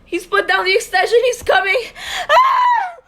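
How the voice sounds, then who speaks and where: Hysterical wealthy wife, very high voice, imperious, pleading, anxious, radio thriller. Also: female voice, wildly swooping pitch, measured pace, bright, forceful tone, raised voice, heavily acted. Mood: hysterical terror. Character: wealthy, hysterical, demanding invalid wife, terrified and alone.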